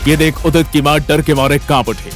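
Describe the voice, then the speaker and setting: A man's voice: fairly high pitch, fairly even intonation, fairly quick, faint music behind. A man narrating a scripted Hindi audio story in a dramatic style.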